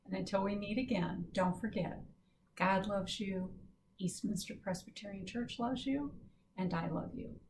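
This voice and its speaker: A woman hosting a children's story time, reading and speaking in simple, deliberate phrases for young listeners. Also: mid-range voice, darkish tone, slight background noise, rough recording.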